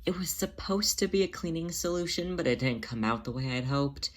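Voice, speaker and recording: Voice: medium pitch, animated, brisk, pitched above the performer's own voice, close to an everyday voice. A narrator voicing an anxious female cat who is wary, hesitant and defensive. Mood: sheepish.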